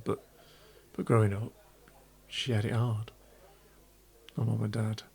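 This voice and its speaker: Guy playing a drunk bloke pouring his heart out, creaky voice, really slow, halting and full of regret. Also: lowish voice. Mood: subdued, bitter. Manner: halting, confessional, with whispered breaks. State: tipsy from red wine, acted. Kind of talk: dramatic monologue.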